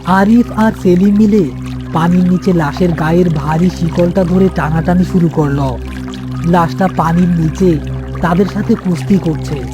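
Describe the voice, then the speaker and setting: A man's voice: high voice, a little slow, over music. A man narrating a scripted horror cartoon story.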